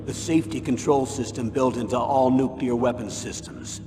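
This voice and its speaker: A man playing a grave, authoritative middle-aged military commander giving a measured, formal briefing in a video game. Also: mid-range voice, in a large hall, lightly acted. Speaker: colonel giving a mission briefing.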